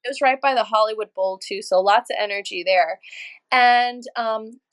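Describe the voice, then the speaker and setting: La woman guesting on a podcast, highish voice, animated storyteller, swears casually. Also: moderate pace. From Los Angeles. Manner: lively, swears casually, laughs while telling stories. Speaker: podcast guest, energy healer and channeler.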